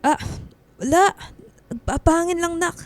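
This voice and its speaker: A woman's voice: very high-pitched, normal speed, a little mellow, no room sound. A woman acting a stubborn, evasive, ailing mother in a Filipino radio drama, exclaiming and repeating herself as she brushes people off. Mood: evasive, flustered.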